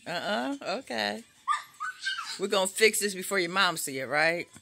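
A middle-aged American woman chatting casually with a small child at home, coaxing and gently scolding from behind a handheld phone. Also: mid-range voice, animated, moderate pace, vocal fry, noisy background. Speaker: caregiver filming a toddler at play. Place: cluttered carpeted living room.

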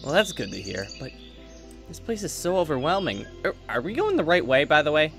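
A man playing an excitable, curious teenage son who is new at an academy, talking casually and eagerly in a Minecraft roleplay. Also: fairly high pitch, fairly quick, creaky, music in the background, exaggerated voice. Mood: overwhelmed. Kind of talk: improvised Minecraft anime roleplay.

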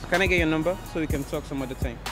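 A man's voice: a little high, racing, over loud music. A man doing a casual street interview, easygoing and hesitant with lots of fillers, outdoors on a city street.

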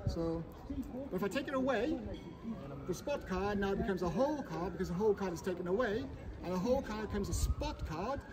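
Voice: medium pitch, lively intonation, fairly quick. A street magician runs a card trick for passersby, the patter full of wordplay and punctuated by frequent "okay?" checks. The voice is caught outdoors by a camera held by a passerby, with a crowd chattering close around. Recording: street recording with a crowd nearby.

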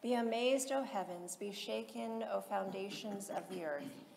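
A woman reading solemn liturgical texts aloud in a measured, formal, chant-like delivery. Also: mid-range voice, creaky. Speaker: liturgical reader in church. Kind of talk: solemn chanted recitation of psalms and hymns. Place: large reverberant interior. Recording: echoey reverberant hall, loud background noise.

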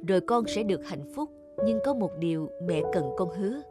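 An actress playing a mysterious, solemn foster mother in an animated fairy tale, speaking in a measured, grave way. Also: mid-range voice, over loud music, lightly acted.

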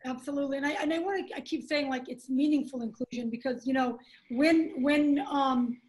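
A woman's voice: high-pitched, a little fast, darkish tone, rough recording. A woman speaking emphatically in a panel discussion on a video call, restarting her phrases.

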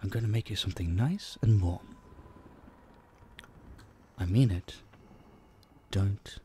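A man playing an obsessive, possessive vampire captor in an intimate audio drama, hushed, teasing and dominant, menacingly sweet, dipping into whispers. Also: on the low side, slow pace, slight background noise. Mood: sweetly commanding. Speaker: voice actor. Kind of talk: intimate roleplay audio drama, addressing the listener.

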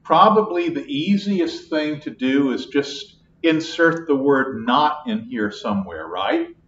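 A man's voice: mid-range voice, lively intonation, a bit bright. An older man, a math lecturer, explaining step by step at a whiteboard in a patient, repetitive classroom manner, recorded from across the room. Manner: slow, patient, repeats each point. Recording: echoey classroom, distant, dull.

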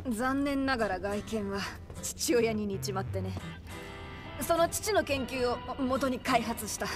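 Excitable high-voiced young gunsmith, stammering. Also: female voice. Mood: eager, shy. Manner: stammering, gushing, rough-spoken. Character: brash young female gunsmith, excited and starstruck. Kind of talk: video game cutscene acting.

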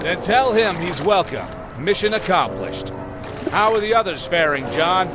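A man voicing a young speedster hero from a motion comic, very high for a male and very lively in pitch. He is flippant and excitable, with loud music behind him. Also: moderate pace. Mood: brisk. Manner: wisecracking, excitable. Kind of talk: dramatic comic-book voice acting.

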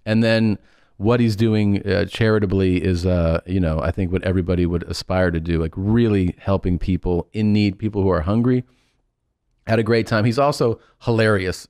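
Low-voiced joking podcast host. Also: male voice, moderate pace, darkish tone, clear, resonant tone, no room sound, clean studio sound. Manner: joking, swears freely, puts on mock voices. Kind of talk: casual interview chat. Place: small studio. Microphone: studio boom mic, close.

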